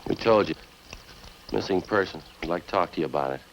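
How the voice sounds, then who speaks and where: An actor with an American accent playing a terse, no-nonsense police detective in a 1950s radio crime drama, clipped and deadpan. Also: male voice, deep voice, quick pace, creaky, dull audio, a little hiss or hum. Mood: curt, official. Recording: band-limited old radio recording, loud music.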